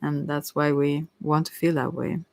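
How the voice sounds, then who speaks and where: A person giving a casual ASMR tarot reading, rambling and musing in a gentle, reassuring and hesitant way. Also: medium pitch, level tone, normal speed, vocal fry, no echo. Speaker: tarot card reader.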